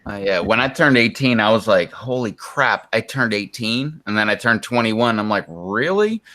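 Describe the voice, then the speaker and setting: A man's voice: fairly low pitch, expressive, moderate pace, pushed and bright. A man in his forties chatting casually on a group stream, rambling and laid-back with plenty of fillers. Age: 40s.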